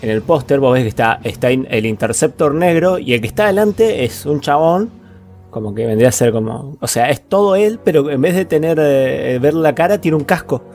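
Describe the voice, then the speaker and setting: A man's voice: a little high, animated, brisk, darkish tone, faint music behind. A man with an Argentine accent co-hosting a podcast, chatting casually about films in slangy language with plenty of swearing. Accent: Argentine Spanish. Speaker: podcast co-host. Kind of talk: casual film chat.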